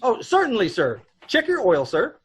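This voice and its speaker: A man's voice: very high-pitched, wildly swooping pitch, normal speed, fairly bright tone, breathy edge, full character voice. An actor playing a chatty, folksy male gas station attendant in an old-time radio drama, rambling and hesitant.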